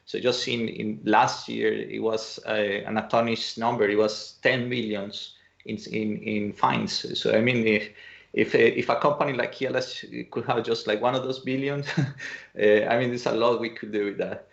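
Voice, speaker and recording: Man from Colombia presenting over a video call, halting delivery, gravelly tone, muffled sound. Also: lowish voice, fairly even intonation. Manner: hesitant, many fillers and restarts. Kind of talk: online conference talk.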